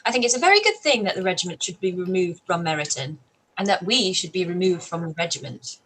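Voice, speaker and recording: A woman's voice: on the low side, lively intonation, fast talker, a bit bright, slightly rough, rough recording. A woman voicing a witty, sensible young woman in a live-read radio play, dry and witty. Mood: wry.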